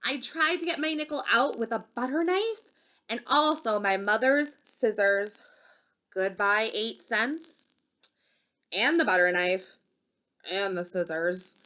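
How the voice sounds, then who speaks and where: Bright-voiced woman reading a bedtime story. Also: fairly high pitch, animated, slow. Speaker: storybook reader. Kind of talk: expressive children's bedtime story read-aloud.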